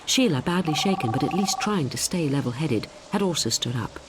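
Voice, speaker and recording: Woman narrating suspense, lively and low-pitched. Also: a little fast, noisy background. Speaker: audiobook narrator. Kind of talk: scripted dramatic narration of a suspense story.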